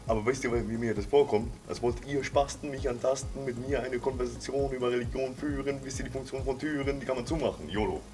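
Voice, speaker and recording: A man's voice: mid-range voice, fast talker, few pauses, recorded from a distance, music in the background, natural voice. A man doing a cocky slang-talking young rapper. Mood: dismissive. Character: slangy young male street rapper type.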